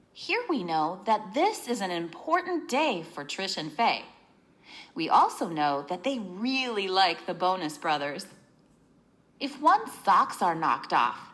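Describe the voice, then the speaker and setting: A woman reading a children's book aloud in an expressive, teacherly way, explaining as she narrates. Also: mid-range voice.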